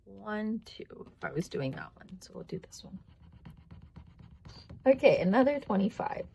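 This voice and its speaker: Chatty woman with a croaky, creaky voice. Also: mid-range voice, sing-song, unhurried, a little mellow, slight background noise. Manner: rambling, thinking aloud, counting to herself. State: voice tiring after long filming. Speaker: budgeting vlogger. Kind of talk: casual chatty hands-on narration.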